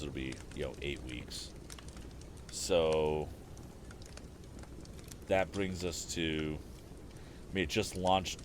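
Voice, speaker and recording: Low-voiced man hosting a story stream. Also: slight background noise. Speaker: storytime livestream host and reader. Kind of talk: casual stream chat and book narration.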